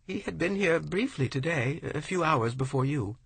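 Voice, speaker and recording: A tearful wife, pleading and full of sorrow, as a male reader puts her on. Her voice sits high and thin, with a husky edge. The recording is close and dry, with no room around it. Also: animated, moderate pace, a bit bright, no echo, full character voice. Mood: distraught. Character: distraught, tearful wife, middle-aged woman.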